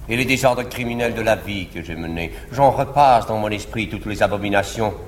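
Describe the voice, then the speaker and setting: Man acting a deep, haughty libertine nobleman, reverberant hall. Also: a little fast, lightly acted. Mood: feigned piety, solemn. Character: cold, cynical, arrogant nobleman libertine. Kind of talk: classical French stage acting. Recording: reverberant 1950s live theatre recording, faint noise.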